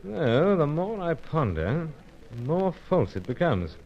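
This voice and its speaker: A man's voice: on the high side, sing-song, a little slow, highs cut off. A man playing a suave but easily flustered British secret agent in a pulp spy radio serial, speaking in polite, hesitant, old-fashioned phrases. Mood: puzzled, deferential. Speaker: lead actor and first-person narrator.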